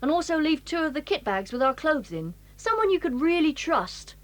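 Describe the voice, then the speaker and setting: A woman's voice: sits high, very animated, normal speed, no room sound. A woman voicing a take-charge schoolboy leader. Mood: planning.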